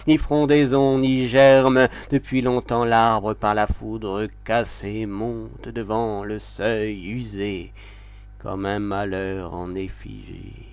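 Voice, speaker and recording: A man giving a dramatic literary recitation of poetry, with solemn, deliberate and expressive phrasing. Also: medium pitch, smooth, no echo. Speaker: poetry reader.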